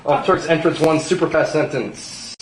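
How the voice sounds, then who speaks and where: Higher-pitched man reading stage directions. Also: moderate pace. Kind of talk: scripted narration at a table read. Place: room at a table read. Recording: echoey room, distant mic, noise and clicks.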